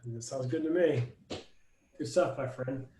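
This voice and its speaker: A man's voice: mid-range voice, measured pace, dark tone, reverberant, a little hiss or hum. A man hosting a casual video-call welcome as a residency program director, upbeat and jokey. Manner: upbeat, jokey, friendly banter.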